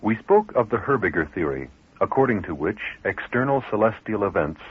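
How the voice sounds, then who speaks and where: A man reading scripted documentary narration in a formal, measured manner. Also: on the low side, husky. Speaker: narrator of radio programme. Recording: tinny band-limited old radio recording.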